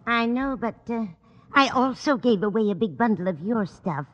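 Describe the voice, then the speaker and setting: A woman's voice: on the high side, expressive, normal speed, big-room sound, close to an everyday voice. A woman playing a kindly, scatterbrained older landlady, fussy and apologetic, in a 1940s radio sitcom. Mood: sheepish. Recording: muffled, hollow old radio broadcast.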